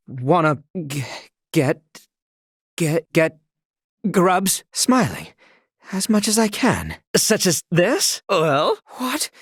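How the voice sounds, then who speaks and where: An actor voicing a proud young knight from a video game, speaking in stiff, lofty, archaic courtly language. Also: male voice, sits high, no room sound, studio quality, full character voice. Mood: flustered, then outraged. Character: proud, formal young knight devoted to his princess. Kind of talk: video game character voice lines, scripted archaic speech.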